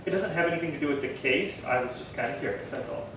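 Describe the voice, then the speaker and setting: A man's voice: on the high side, quick pace, close to an everyday voice. A man acting a polite, curious police detective in a 1950s-style radio crime drama, questioning plainly and deferentially. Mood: casual, curious. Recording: very echoey, distant, noisy room.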